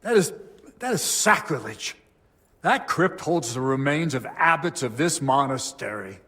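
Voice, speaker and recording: A man's voice: on the high side, expressive, unhurried, sharp tone. A man playing a devout, troubled abbot in a medieval video game drama, speaking in a solemn, formal, pious way. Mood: indignant.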